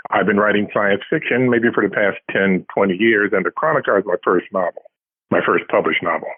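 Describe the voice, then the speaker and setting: A man's voice: lowish voice, fairly quick, husky, no echo. An older man, a guest author in an interview, talking casually and telling stories in a conversational way over a narrow phone line.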